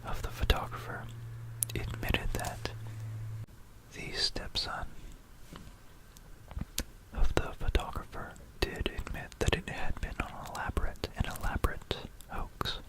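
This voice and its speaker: A breathy whisper from an ASMR host, very slow and deliberate. The storyteller pauses often and softly repeats phrases while walking through history, close on a binaural mic. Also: poor audio. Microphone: close stereo binaural microphone.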